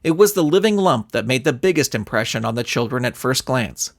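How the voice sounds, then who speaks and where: A man reading a scripted story aloud in a theatrical, expressive storytelling manner. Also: mid-range voice, a little fast, creaky. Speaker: narrator and author reading his own story. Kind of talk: animated scripted audiobook narration.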